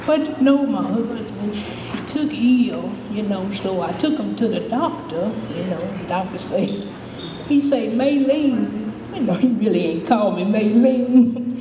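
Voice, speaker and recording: A woman's voice: mid-range voice, expressive, a little slow, close to an everyday voice. A woman playing a chatty, good-humored older homeless widow, telling her story in a folksy, rambling stage monologue. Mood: wistful, resigned. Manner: folksy, rambling, repeats "you know", chuckling. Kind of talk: dramatic monologue, storytelling to a live audience. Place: indoor stage performance, distant microphone. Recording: echoey hall from far off, faint noise.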